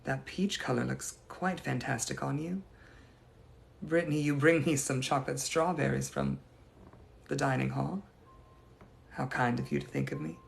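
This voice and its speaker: A man's voice: mid-range voice, fairly even intonation, moderate pace, creaky, long pauses. A man voicing the ghost of a young lady from the 1880s, speaking in a formal, old-fashioned and gracious manner. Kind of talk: improvised live roleplay answering viewers.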